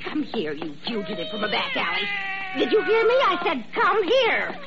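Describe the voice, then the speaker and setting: High, flustered, scolding nurse. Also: female voice, wildly swooping pitch, moderate pace, exaggerated voice. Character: flustered, indignant, scatterbrained hospital nurse. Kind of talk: old-time radio comedy-drama acting. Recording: noisy, muffled vintage radio recording.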